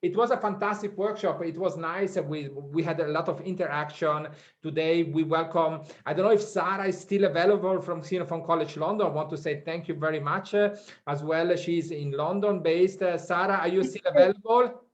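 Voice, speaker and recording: A man hosting a workshop from Sydney over a video call, speaking haltingly with many fillers and non-native phrasing. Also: fairly high pitch. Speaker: workshop host. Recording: dull lo-fi call audio.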